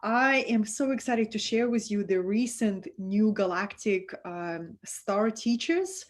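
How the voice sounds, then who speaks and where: A woman's voice: mid-range voice, lively intonation, slow pace. Russian-accented woman hosting a spiritual stream. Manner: soft, affectionate, reads out viewer comments. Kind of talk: live-streamed spiritual talk.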